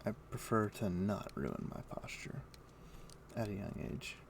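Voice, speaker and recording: A man's voice: on the low side, expressive, a little slow, slight background noise. A man chatting casually as he streams a game, rambling and thinking aloud. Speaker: livestream host. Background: music.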